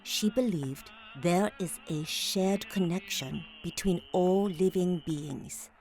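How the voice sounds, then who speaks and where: A woman playing a poised, probing client in a noir audio drama, speaking formally with measured, precise phrasing. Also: lowish voice, darkish tone. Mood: measured, earnest.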